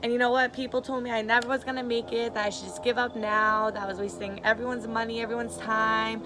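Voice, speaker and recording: A woman's voice: a little high, little pitch movement, fast, over music. A bubbly 22-year-old woman, chatting eagerly to camera in a casual audition video. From Massachusetts, USA. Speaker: reality show applicant.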